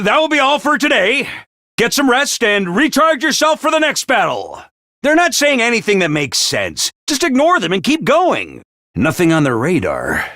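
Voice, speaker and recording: A bombastic little mascot commander for a video game. The voice is high and bright, with enormous melodic swoops between chirpy cheer and sly menace. Delivery is theatrical and emphatic. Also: male voice, no echo, studio quality, full character voice. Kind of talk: animated video game voice acting.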